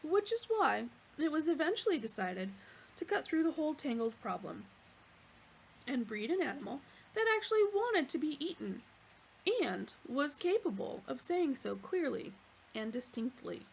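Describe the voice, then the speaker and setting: A woman's voice: sits high, big pitch swings, moderate pace, vocal fry, slight background noise, voice pushed up, exaggerated voice, poor audio. A woman voicing a polite, eager male beast that offers itself as a meal, in a courteous waiter-like manner. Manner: courteous waiter-like salesmanship, contented.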